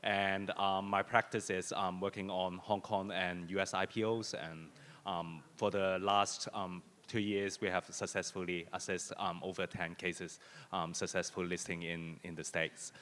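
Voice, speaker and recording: Deep-voiced man with an Australian accent. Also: flat delivery, a little slow, fairly bright tone, long reverb tail. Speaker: panelist, lawyer. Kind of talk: panel self-introduction. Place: conference hall.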